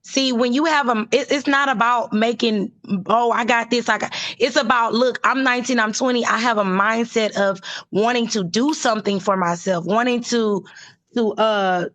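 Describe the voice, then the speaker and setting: A young woman from Texas with a hoarse voice, chatting casually and haltingly as a livestream caller. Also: a little high, a bit bright, no room sound. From Houston, Texas, USA. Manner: halting, frequent use of like. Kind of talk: casual chat.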